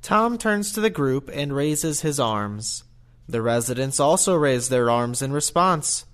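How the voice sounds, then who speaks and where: A man's voice: medium pitch, expressive, a little slow, a bit bright. A man narrating an audio play, reading out scripted stage directions and scene changes.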